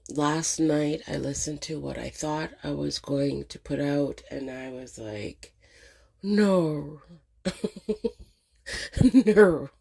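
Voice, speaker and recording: Slow, husky woman with a head cold. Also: sits low, a little mellow. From Canada. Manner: slow, hesitant, many ums and pauses. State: congested with a cold. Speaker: channel host. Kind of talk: casual reflective monologue.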